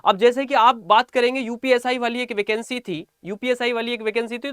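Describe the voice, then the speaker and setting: A man's voice: very high voice, fairly bright tone, clear, resonant tone, no room sound, clean studio sound. A man giving an informal exam-update lesson to students as a coaching teacher, repeating phrases for emphasis.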